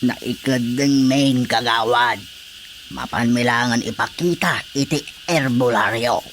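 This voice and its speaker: An actor playing a stubborn village uncle who prefers the folk healer, in a radio drama. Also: male voice, mid-range voice, a little slow, a bit bright. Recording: radio drama with faint noise.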